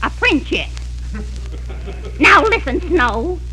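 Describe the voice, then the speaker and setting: An actress playing a bossy, jealous wicked stepmother with sharp, scolding delivery in 1940s radio comedy style. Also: high-pitched, sing-song, takes it slowly, music in the background, exaggerated voice. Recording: noisy, humming old radio recording.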